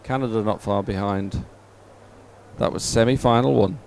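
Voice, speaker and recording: A man's voice: on the low side, moderate pace, vocal fry, darkish tone, slight background noise. A man hosting a live sports stream in an informal, somewhat hesitant way. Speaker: livestream host.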